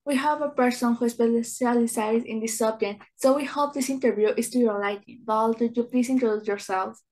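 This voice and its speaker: A woman's voice: a little high, steady tone, moderate pace, a little mellow, low-quality recording. A woman interviewer reading out prepared questions and introductions to a guest.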